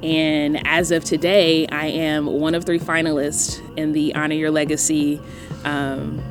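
A woman's voice: deep voice, little pitch movement, unhurried, over music. A young woman from North Carolina with a Northeastern accent and Southern phrasing, chatting enthusiastically on a podcast with many ums. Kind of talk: casual podcast chat.

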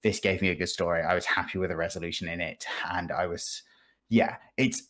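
Chatty man with a slightly low voice. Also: little pitch movement, brisk, a bit bright. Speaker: podcast guest. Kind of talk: casual gaming podcast chat.

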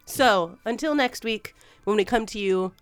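A woman podcast co-host chatting casually and enthusiastically in a clear, smooth voice. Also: mid-range voice, unhurried, dry recording, faint music behind. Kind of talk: casual banter between podcast co-hosts.

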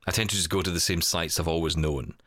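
A man hosting a podcast, talking in a casual, chatty and rambling way with animated storytelling. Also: deep voice, quick pace, no room sound, studio quality. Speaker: podcast host and producer.

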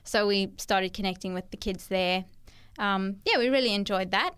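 A young woman from South Africa with a South African accent, a guest telling her story in an interview with thoughtful pauses. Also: medium pitch, fairly bright tone, clean tone. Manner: thoughtful, hesitant with fillers. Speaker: guest, ministry worker. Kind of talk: conversational interview.

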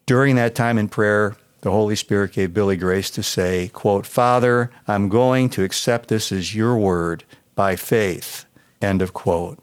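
An American man, a pastor, reading a scripted devotional in a measured, earnest manner. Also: lowish voice, smooth, dry recording.

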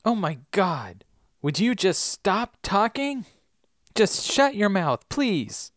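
A woman reading the part of an upset young woman who is grieving and who snaps in sharp, exasperated rebukes. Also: low voice, wildly swooping pitch, fairly quick, dry recording, clean studio sound, exaggerated voice.

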